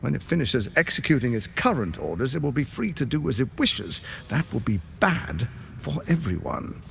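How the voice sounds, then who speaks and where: A man playing a calculating tyrant lord in a video game, measured, persuasive and grandiose. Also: medium pitch, sing-song, creaky, a bit bright, slight background noise, exaggerated voice. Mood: grave, imperious. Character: suave, calculating male tyrant lord courting an ally. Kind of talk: dramatic video game character acting.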